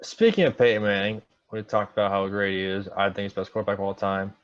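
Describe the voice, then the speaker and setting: A young man co-hosting a casual sports podcast, joking around and talking with quick, animated asides. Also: lowish voice, clean tone. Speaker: podcast co-host. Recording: dull lo-fi sound.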